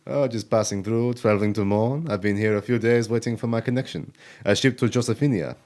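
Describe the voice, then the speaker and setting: A man's voice: lowish voice, fairly quick, a little mellow, natural voice. A man playing a suave, jaunty elf rogue in an audio drama, speaking in a theatrical, flowery way. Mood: breezy.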